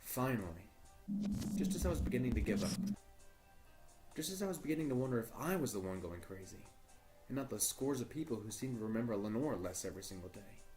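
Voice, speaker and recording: A man playing an earnest, troubled journalist, narrating a horror audio drama in a deliberate, formal storytelling way. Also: on the low side, animated, music in the background, natural voice, poor audio. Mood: grave, earnest. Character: earnest, troubled male journalist recording his search for missing partner. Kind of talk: first-person horror audio drama monologue.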